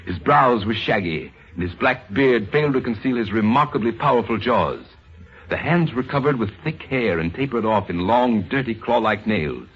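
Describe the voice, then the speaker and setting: A man's voice: mid-range voice, animated, normal speed, breathy edge. A man narrating a scripted old-time radio drama in a grave, theatrical manner. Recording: dull lo-fi old radio recording, music. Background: dramatic music.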